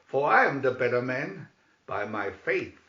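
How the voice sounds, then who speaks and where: Man as cocky young storybook stranger, boastful, slow delivery, dull roomy sound. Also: mid-range voice, big pitch swings, bright, forceful tone, exaggerated voice. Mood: defiant. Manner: boastful, retorting.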